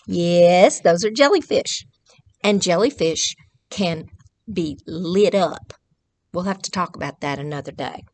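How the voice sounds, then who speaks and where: A woman, reading a picture book aloud to children and pausing to ask them simple questions. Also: fairly low pitch, darkish tone. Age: 60s. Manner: questioning, explains simply to young listeners. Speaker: children's librarian reading at storytime. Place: indoors, small decorated library room.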